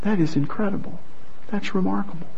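A man preaching a sermon and reading scripture aloud, earnest and halting, with frequent restarts. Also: high voice, gravelly, darkish tone, dull audio, a little hiss or hum. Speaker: pastor.

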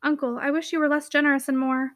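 A woman voicing a meek, unhappy young niece in a storybook, polite, earnest and quietly persistent. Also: high-pitched, level tone, quick pace, close to an everyday voice. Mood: subdued. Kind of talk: storybook character dialogue.

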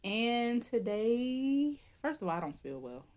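A woman's voice: a little high, takes it slowly, lo-fi sound. A woman in her thirties with a stuffy head cold, co-hosting a casual podcast chat and often laughing as she tells stories. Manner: chatty, laughs often, animated retelling. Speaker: podcast co-host.

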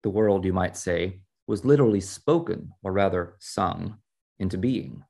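Man reading as a measured, gravelly wise teacher. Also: deep voice, lively intonation, a little mellow, exaggerated voice. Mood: solemn, explanatory. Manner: measured, formal, instructive. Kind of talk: storybook reading.

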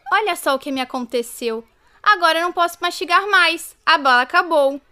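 A woman's voice: extremely high pitch, a little fast, bright, forceful tone. A woman playing an eager, wistful little schoolgirl who asks childlike questions in a radio drama, with a Brazilian accent. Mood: dismayed.

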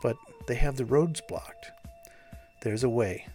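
A man's voice: medium pitch, slow, warm tone, faint music behind, natural voice. A man voicing a harried, earnest everyman hero from an adventure story, urgent and plainspoken. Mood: wry, earnest.

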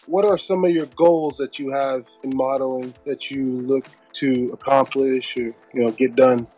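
A man's voice: medium pitch, fairly even intonation, normal speed, a little mellow, breathy edge, faint music behind. A man co-hosting a casual interview, talking in an encouraging, affirming way. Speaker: podcast co-host, interviewer.

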